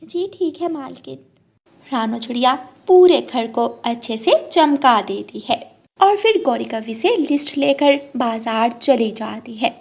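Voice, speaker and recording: A woman's voice: fairly high pitch, animated, a little slow, creaky. A woman storyteller reading scripted narration for a children's cartoon moral tale.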